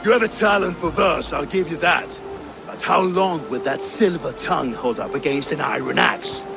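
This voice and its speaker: A man's voice: on the high side, normal speed, creaky, background noise. An actor playing a boastful Danish warrior who taunts and mocks his rivals. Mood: taunting. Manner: scornful, taunting. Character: gruff boastful Danish warrior man, mocking. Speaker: rival warrior in a rhyming insult duel. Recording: game cutscene with loud ambience.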